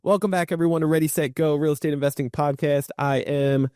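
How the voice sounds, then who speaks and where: A man's voice: fairly high pitch, steady tone, fairly quick, warm tone, faint music behind. A man hosting a podcast in a casual, upbeat, encouraging interview style. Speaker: podcast host. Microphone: remote virtual call recording.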